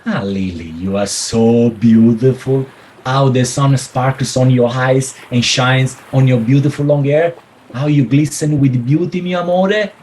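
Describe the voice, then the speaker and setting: A man's voice: medium pitch, unhurried, darkish tone, close to an everyday voice, poor audio. A man playing a theatrical Italian husband and ladies' man in a radio play, effusive, with an Italian accent and broken English. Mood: smooth, amorous. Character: melodramatic Italian widower and smooth ladies' man. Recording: faint background noise.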